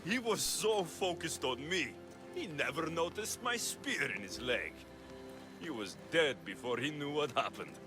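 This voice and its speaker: A man playing a confident, cocky but warm-hearted young mercenary hero in a video game, with a plain-spoken delivery. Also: a little high, big pitch swings, a little slow. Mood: boastful. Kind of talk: cinematic video game acting. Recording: cinematic music behind the voice. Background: music.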